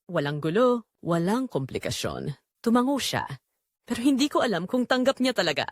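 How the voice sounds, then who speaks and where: Actress as sensual, restless Filipina wife confessing an affair; very animated pitch, dry close sound, audio drama narration. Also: female voice, normal speed, no room sound. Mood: uneasy, intrigued.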